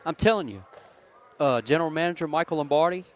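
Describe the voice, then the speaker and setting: A man's voice: fairly high pitch, fast talker. An effusive man, chatting casually and emphatically as a sports radio co-commentator. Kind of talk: casual live post-game chat.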